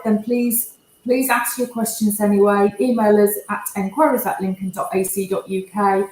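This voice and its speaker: Chatty woman host, echoey room. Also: mid-range voice. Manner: chatty, hedging with sort of. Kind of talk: live stream hosting.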